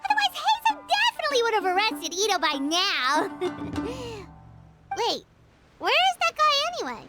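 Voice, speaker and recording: A woman voicing a tiny, cheerful, chatty fairy companion in a video game, with a squeaky childlike voice and excitable manner. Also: normal speed, loud music behind the voice, exaggerated voice. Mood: chirpy, curious. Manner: excitable, refers to self by name.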